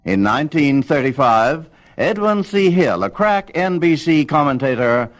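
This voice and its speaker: Man narrating with wide, dramatic pitch swings. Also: sing-song, unhurried. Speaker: narrator of a radio history album. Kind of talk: scripted documentary narration.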